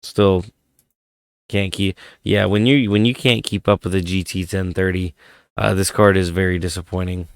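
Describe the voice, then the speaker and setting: A man's voice: sits low, steady tone, normal speed, creaky, clear, resonant tone, dry recording, clean studio sound. A man hosting a casual hardware vlog, rambling and thinking aloud, his voice worn from a head cold. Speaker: tech channel host.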